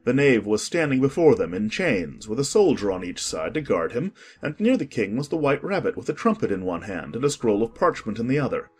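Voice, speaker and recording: A man narrating a dramatized audiobook in an expressive storytelling manner. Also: brisk, hardly any pauses, husky, faint music behind. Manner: expressive storytelling, varied pitch.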